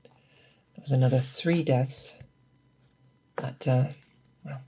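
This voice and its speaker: A performer in an ASMR roleplay playing a patient, methodical monster hunter who mentors an apprentice, speaking deliberately and coaxing with questions. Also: medium pitch, steady tone, dark tone, husky. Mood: calm, focused. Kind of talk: ASMR roleplay, scripted character monologue.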